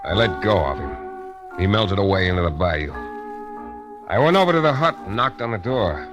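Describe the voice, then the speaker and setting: A man's voice: deep voice, sing-song, moderate pace, highs cut off. An actor playing a wry, hard-boiled American private detective, narrating his case in a 1940s radio drama style. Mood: wry narration. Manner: wry, world-weary storytelling. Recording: old radio broadcast with music behind.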